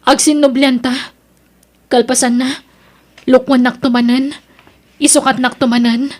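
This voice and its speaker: Casting: actress for distraught young woman, audio drama, high voice, pleading and emotional, music underneath. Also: level tone, a little slow. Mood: indignant. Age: young adult. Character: distraught young woman student, pleading, torn between lovers. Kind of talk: Ilocano audio drama acting.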